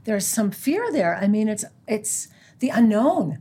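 A woman's voice: medium pitch, very animated, a little slow, mellow, slightly rough. An American woman in her sixties, a guest who leads a pro-life group, talking earnestly in an interview and quoting scripture. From United States. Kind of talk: conversational interview.

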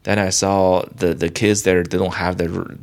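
A young man from China, a guest in an interview, talking in a halting way with many restarts and fillers. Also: deep voice, little pitch movement, gravelly. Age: young adult. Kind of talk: casual interview talk.

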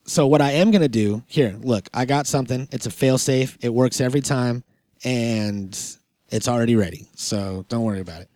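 A man co-hosts a podcast in a close, dry recording. He chats casually and loosely, full of slang and swearing. His pitch is middling and his delivery laid-back. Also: normal speed, a little mellow, no echo. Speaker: podcast host. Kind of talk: casual banter between co-hosts.

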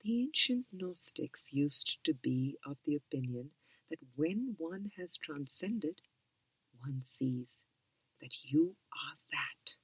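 A woman reads in a hushed, calm whisper with a low, breathy voice. She takes her time, letting each phrase settle slowly. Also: no echo, poor audio. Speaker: reader. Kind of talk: soft whispered reading of a spiritual book.

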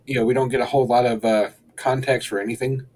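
A young man co-hosting a podcast, reviewing an episode in relaxed, rambling casual chat and chuckling often. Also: medium pitch, quick pace. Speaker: podcast co-host.

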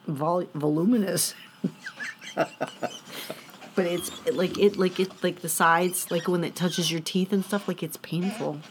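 Woman cohost on a politics podcast, kinda low voice, rambly and chatty. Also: steady tone, a little slow, long pauses, background noise. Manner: rambling, many "like"s. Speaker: podcast co-host. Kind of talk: casual political chat.

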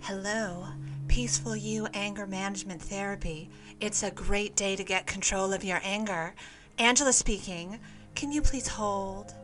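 A woman's voice: mid-range voice, normal speed, lightly acted. A woman voicing a chipper receptionist at a therapy office, using a phone voice.

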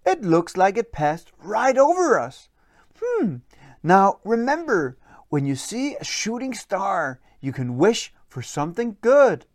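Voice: medium pitch, very animated, takes it slowly, dry recording, studio quality, pitched above the performer's own voice. A storyteller voicing a cheerful, fatherly troll who encourages and explains in a children's bedtime story. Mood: gently explaining.

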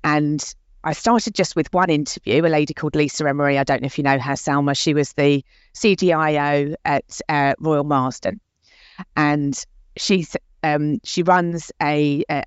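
A woman's voice: sits low, steady tone, moderate pace, smooth, dry recording, highs cut off. A woman hosting a podcast interview, talking in a chatty, enthusiastic way with many fillers. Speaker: podcast host.

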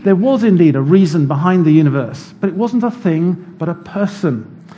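A man preaching a sermon in a church, explaining scripture in a measured teaching manner. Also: high voice, lively intonation, dark tone.

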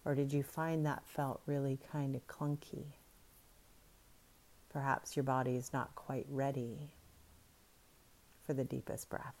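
Woman, meditation guide, soft soothing delivery, very slow pace, dark mellow tone. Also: a bass voice, flat delivery.

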